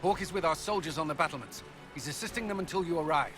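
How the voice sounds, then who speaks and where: Guy acting serious army commander in a fantasy game, clipped n steady, slightly high voice. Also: fast talker, over music, natural voice. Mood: brisk briefing. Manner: clipped military briefing. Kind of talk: dramatic video game acting.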